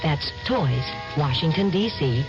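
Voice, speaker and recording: Public service announcer, animated, instructive scripted read; dull radio sound, music behind. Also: medium pitch, measured pace. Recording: muffled old radio spot with music.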